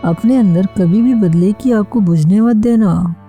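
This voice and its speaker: A woman's voice: mid-range voice, a little slow, warm tone, faint music behind, lightly acted. A woman voicing a protective, grave village uncle in a cartoon moral story, solemn and fatherly. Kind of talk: Hindi animated moral story dialogue.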